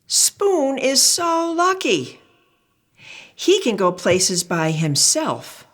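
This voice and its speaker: A woman puts on an envious storybook utensil. It speaks high and slowly, drawing words out with wistful admiration. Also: huge pitch swings, full character voice. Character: wistful utensil friends, envying an easygoing pal.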